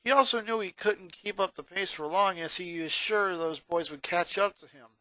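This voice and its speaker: A man's voice: high-pitched, normal speed, bright, forceful tone, dry recording, rough recording. A man reading a fan fiction story aloud as scripted narration.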